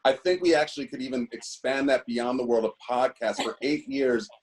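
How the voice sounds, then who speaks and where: A man's voice: mid-range voice, steady tone, fairly quick, a little mellow, rough recording. A man from Chicago co-hosting a podcast, teasing his friends with dry humor in casual chat. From Chicago, Illinois. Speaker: podcast co-host.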